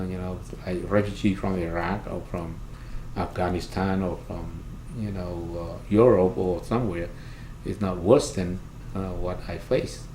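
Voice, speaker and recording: A man with a Cambodian accent, a native Khmer speaker, recounts his experiences in halting, simply phrased English in an interview. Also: deep voice, warm tone. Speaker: interviewee, refugee services worker and pastor. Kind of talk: oral history interview, recounting personal experience. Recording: roomy echo, clear background noise.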